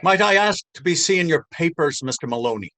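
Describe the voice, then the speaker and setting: A man's voice: a little high, expressive, fairly quick, a bit bright. An actor playing a bullying, sceptical Dublin policeman in a stage reading, mocking and officious. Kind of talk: stage readers theater acting.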